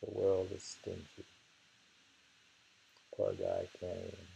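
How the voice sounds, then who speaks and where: A man reading translated poetry aloud in a deliberate way and commenting on it casually, on a dull recording. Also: sits low, completely flat delivery, vocal fry, warm tone. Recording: dull lo-fi home recording with hiss.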